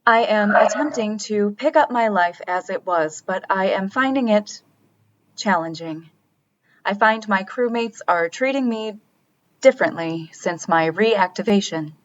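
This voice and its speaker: A woman playing a polite, literal-minded artificial crew member in a sci-fi radio drama, speaking in a formal, measured, matter-of-fact way. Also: mid-range voice, lively intonation, lo-fi sound, lightly acted. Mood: puzzled, matter-of-fact. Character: polite, literal-minded reactivated artificial crewmate, puzzled by people.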